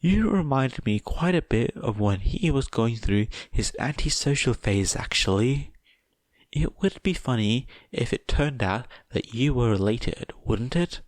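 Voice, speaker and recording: Story character: sweetly dangerous mother, raised pitch, courteous and fussy, performed by a male narrator. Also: lively intonation, measured pace, dry recording, lightly acted. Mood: happy, veiled menace. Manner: polite, motherly, fussing. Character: sweetly menacing middle-aged mother, dangerously unhinged.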